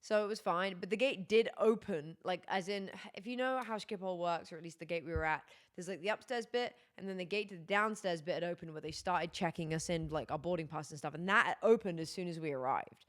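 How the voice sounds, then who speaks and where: A tired young woman streaming, chatting casually with her chat, her voice going hoarse. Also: fairly low pitch, fairly quick, few pauses. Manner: rambling, chatty, many fillers.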